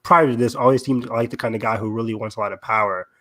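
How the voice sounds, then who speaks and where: A man hosts a relaxed fan podcast with an enthusiastic, chatty delivery. His voice sits at an ordinary male pitch, and he talks in a conversational, agreeable way. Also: fast talker. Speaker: podcast host. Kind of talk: casual fan discussion.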